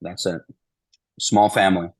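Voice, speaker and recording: Man in his forties, very low voice, monotone, dry close sound, rambling interview storytelling. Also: a little slow, breathy edge, no room sound. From Ukraine. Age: 40s. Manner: meandering, restarts, repeats "the bottom line is". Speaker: interview guest.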